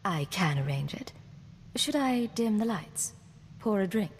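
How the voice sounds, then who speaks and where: A woman performing a cool, self-possessed secret agent in a sci-fi game. She is direct and wry, with a medium-pitched voice. The audio has long reverb and faint noise. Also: lively intonation, unhurried, lightly acted. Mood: tender, flirtatious. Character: poised, wry, affectionate female imperial secret agent. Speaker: player character in a video game. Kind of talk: scripted game dialogue.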